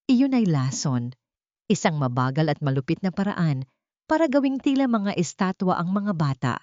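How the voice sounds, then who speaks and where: A woman's voice: deep voice, darkish tone, dry recording, muffled recording. A woman reading a scripted dramatic story as an audiobook narrator.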